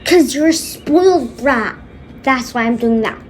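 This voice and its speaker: A stern, angry father acted out by a woman in a homemade skit. The voice is high, with slow, blunt, emphatic scolding. There is some noise in the recording. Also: animated, darkish tone. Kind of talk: homemade skit role-play.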